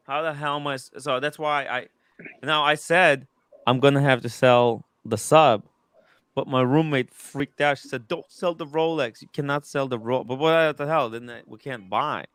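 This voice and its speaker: A man in his early thirties hosts a casual chat, talking in an excitable rush that breaks into restarts and swearing. His pitch sits in a middle range. The sound is dry and close. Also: clear, resonant tone, dry recording. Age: 30s. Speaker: host.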